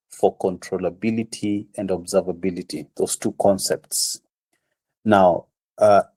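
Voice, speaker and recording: A slightly hoarse man giving a university lecture, explaining step by step with frequent pauses and fillers. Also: lowish voice, warm tone. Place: classroom.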